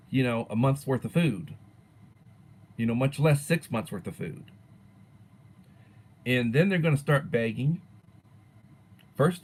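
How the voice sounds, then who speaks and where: A man's voice: mid-range voice, darkish tone, breathy edge. Older man chatting on a livestream. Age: 60s. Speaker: livestream host and teacher. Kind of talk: casual livestream chat reading viewer comments.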